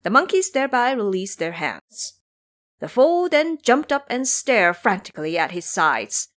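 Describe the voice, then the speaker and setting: A woman's voice: fairly high pitch, sing-song, unhurried, fairly bright tone. A woman narrating a scripted audio drama as a storyteller.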